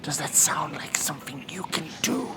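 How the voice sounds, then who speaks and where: A man's voice: on the high side, expressive, natural voice. A man voicing a stern, impatient demon judge of Hell in a whispered horror ASMR role-play, scolding and commanding. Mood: exasperated, instructing. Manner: scolding, weary, deliberate, commanding.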